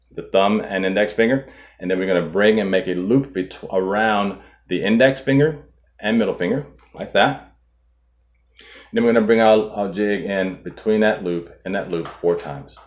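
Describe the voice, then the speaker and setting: A man in his forties, host of a fishing channel, calmly walking viewers through tying a knot step by step, filmed indoors at a table. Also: low voice. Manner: calm, methodical, walks through each step. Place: indoor studio in front of a boat.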